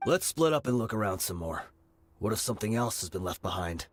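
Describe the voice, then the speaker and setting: An actor voicing a loyal, protective mercenary in a video game, speaking firmly and directly. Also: male voice, fairly low pitch, animated, a little fast, soft background music, close to an everyday voice. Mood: subdued.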